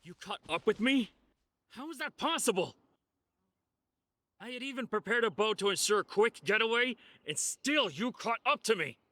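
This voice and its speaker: Excitable anime-game thief: sharp tone, lively pitch swings, melodramatic exasperation. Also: male voice, moderate pace. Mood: shocked, frustrated. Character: boastful, excitable male thief, easily exasperated. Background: background music.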